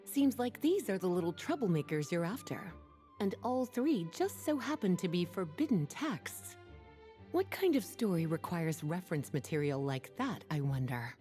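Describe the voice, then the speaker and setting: An actress playing a poised librarian in a fantasy game, with a mellow, relaxed tone and a lively, lilting delivery. She sounds knowing and gently teasing. Soft music plays under her. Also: lowish voice, moderate pace, lightly acted. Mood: wry. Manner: languid, wry, gently teasing. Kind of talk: game voice acting.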